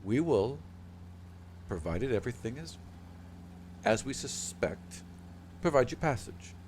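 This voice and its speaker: A man plays a stately bird-woman envoy in a tabletop fantasy game. The character speaks in measured, formal phrases, polite yet firm and solemn, at a middling pitch. A faint noise sits behind the voice. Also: big pitch swings, a little mellow.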